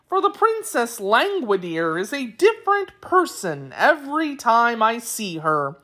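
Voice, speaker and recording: Storybook creature, male, played by a woman: very high, anxious, pleading, excitable, slow delivery. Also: heavily acted. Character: fearful, tearful, eager male wheeled creature. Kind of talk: children's storybook character voice.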